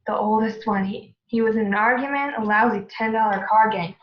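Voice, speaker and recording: A woman's voice: mid-range voice, fairly quick, lightly acted. A woman acting a gossipy mobster's wife, earnest and dramatic, in a radio play. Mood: grave gossip. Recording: echoey room, dull, faint noise.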